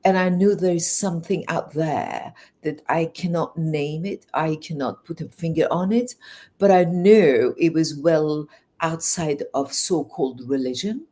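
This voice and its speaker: Interview guest: Farsi-native woman, Iranian-English accent, earnest, lively storytelling. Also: low voice, measured pace. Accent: Iranian, learned English in England. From Iran. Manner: earnest, animated, with restarts.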